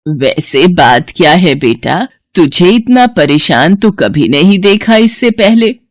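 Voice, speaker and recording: Woman voicing a slow, kindly old granny. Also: fairly low pitch, sing-song, no room sound, clean studio sound, full character voice. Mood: concerned. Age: older. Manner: slow, drawn-out, blessing-giving speech. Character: kindly old village granny with magical gifts, gently pleading.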